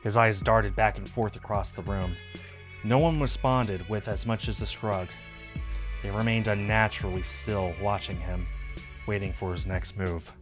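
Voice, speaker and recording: A man's voice: lowish voice, fairly even intonation, moderate pace, soft background music. A man reading a visual novel aloud on a stream as scripted story narration.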